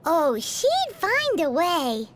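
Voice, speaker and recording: A woman voicing a tiny, chatty, cheeky floating fairy companion with a squeaky childlike voice in a video game. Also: huge pitch swings, drawn-out words, exaggerated voice. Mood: earnest, insistent. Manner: speaks of self in third person, perky and emphatic.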